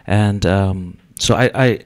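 A man with a lowish voice speaks on a panel before a seated gallery audience. He talks haltingly through a handheld mic, full of "uh" and "um". He sounds like a man in his thirties. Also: a little mellow. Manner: hesitant, many fillers. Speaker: panel artist. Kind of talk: panel discussion.

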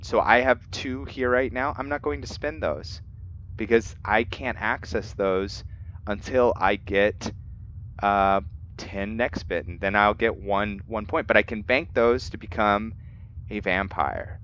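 A man's voice: lowish voice, a little slow, fairly bright tone. A man hosting a let's play with casual, explanatory gameplay commentary, often putting questions to his viewers. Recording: dull lo-fi mic, noisy background.

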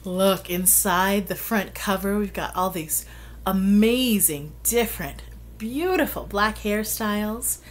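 A middle-aged woman reading a children's picture book aloud, with expressive, deliberate storytelling and drawn-out phrases. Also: mid-range voice. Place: small plain room indoors.

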